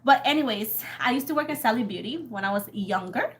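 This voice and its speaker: A young woman with a Puerto Rican accent chats loosely with her livestream viewers, her voice sitting a little high. She rambles from one thing to the next, laughs a lot and swears offhandedly. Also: animated, brisk, a bit bright. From Puerto Rico. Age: young adult. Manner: chatty and rambling, laughs often, swears casually. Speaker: tarot reader. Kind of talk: casual livestream chat with viewers.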